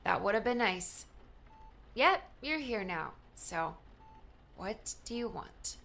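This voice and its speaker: A woman's voice: medium pitch, animated, slow, gravelly, a bit bright, exaggerated voice. A woman playing an irritated young woman in an audiobook, dry, cutting and bitterly sarcastic with her ex. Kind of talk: audiobook character dialogue.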